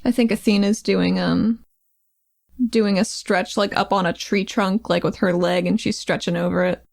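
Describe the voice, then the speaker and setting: A woman's voice: mid-range voice, steady tone, moderate pace, gravelly. A woman chatting casually as a player in a tabletop roleplaying game, describing her character and asking questions.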